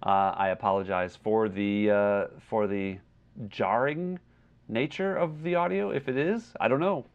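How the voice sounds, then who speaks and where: A man co-hosting a podcast in casual review chat, halting and often backtracking as he explains. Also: lowish voice. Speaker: podcast co-host. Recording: dull lo-fi replacement microphone.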